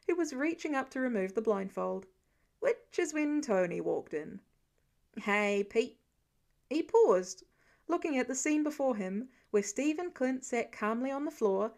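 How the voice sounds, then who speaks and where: A woman reading a story aloud as an audiobook narrator, expressive and scripted. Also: sits high.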